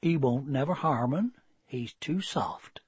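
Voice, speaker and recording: A man voicing a brisk, no-nonsense but kindly middle-aged farmer's wife in an audiobook, with homely rustic speech. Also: medium pitch, husky, no room sound, highs cut off. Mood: soothing.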